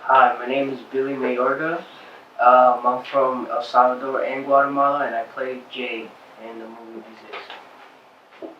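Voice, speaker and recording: Male cast member, halting, off-mic in a noisy room. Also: mid-range voice, little pitch movement, dull audio. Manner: halting, many fillers. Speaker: actor in the film. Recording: roomy, distant, noisy.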